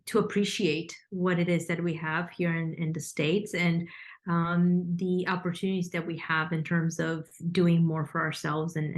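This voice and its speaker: Woman from Romania, lowish voice. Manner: articulate, with frequent fillers and restarts. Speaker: guest, financial consultant. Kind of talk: podcast interview.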